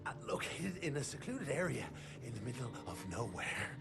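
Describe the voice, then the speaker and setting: An actor playing a shifty, cowardly, foul-mouthed vampire informant in a video game, with a jittery, stammering delivery. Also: male voice, medium pitch, very animated, normal speed, creaky. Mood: nervous, informing. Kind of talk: video game cinematic dialogue.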